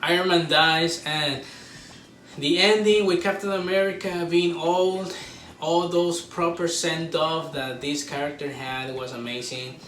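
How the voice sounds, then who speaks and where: Higher-voiced man rambling in an echoey room. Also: steady tone, a little slow, a bit bright. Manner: rambling, repeats himself, informal. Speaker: video creator reviewing a film. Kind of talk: casual excited fan review to camera. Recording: roomy echo, distant mic, faint noise.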